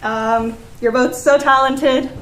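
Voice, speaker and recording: A woman's voice: sits high, steady tone, slow. A young woman giving an informal tribute speech in an echoey room. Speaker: student cast member giving a tribute. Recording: echoey room with faint noise.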